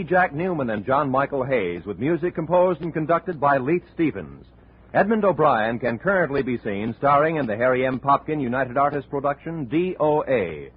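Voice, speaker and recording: A man reading the closing credits as a 1950s radio announcer in a brisk, formal way. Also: fairly high pitch, husky. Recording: tinny old radio recording.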